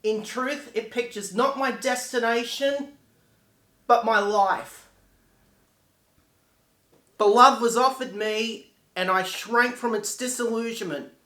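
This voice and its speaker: A man's voice: extremely high pitch, slow, long pauses, pushed and bright, exaggerated voice. A man playing a regretful dead man who recites a poetic monologue with solemn, declamatory delivery. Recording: echoey room.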